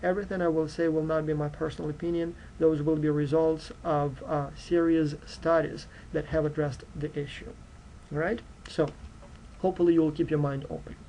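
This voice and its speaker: Ukrainian guy lecturing his class, earnest, lots of uh and you know, dark mellow voice, faint hiss behind. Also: a little high, level tone, normal speed, low-quality recording. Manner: earnest, direct, frequent fillers like uh and you know. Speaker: university lecturer. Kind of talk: recorded course introduction lecture.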